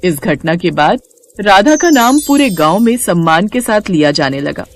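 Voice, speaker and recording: A woman's voice: fairly low pitch, expressive, normal speed, slight background noise. A woman reading scripted moral-story narration as a storyteller.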